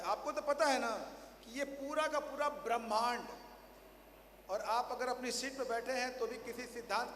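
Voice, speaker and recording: A hoarse man, a motivational speaker at a company training event, giving a rousing stage speech to a large audience and firing questions at the crowd, repeating them louder. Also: very high voice, a little hiss or hum. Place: large hall. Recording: echoey hall, faint ambience.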